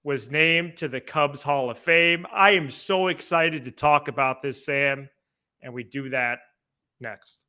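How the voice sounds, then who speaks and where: Male sports podcast co-host, very bright forward tone, slightly high register, casual and halting delivery. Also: clean tone. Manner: halting, repeats words as he talks.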